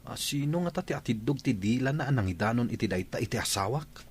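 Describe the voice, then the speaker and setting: An actor with an Ilocano Filipino accent playing a stern husband and dutiful son in a comedy radio drama. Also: male voice, mid-range voice, lively intonation, fast talker, natural voice. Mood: irritated.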